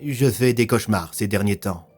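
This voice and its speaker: A man voicing a brooding, troubled investigator who speaks gravely and hesitantly. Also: on the low side, moderate pace, darkish tone, faint music behind, natural voice. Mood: sombre. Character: brooding, troubled male investigator haunted by dark dreams.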